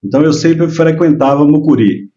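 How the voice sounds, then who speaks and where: A man in his sixties from Minas Gerais, a guest telling his life story in relaxed, casual chat with frequent hesitations. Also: on the high side, normal speed, dull audio. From Minas Gerais, Brazil. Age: 60s. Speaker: guest, local businessman.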